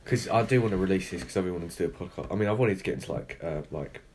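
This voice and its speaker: A man's voice: deep voice, lively intonation, racing, background noise. A teenage boy co-hosting a casual podcast with his friends, swearing freely and laughing often. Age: teens. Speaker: podcast co-host. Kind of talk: casual chat between friends.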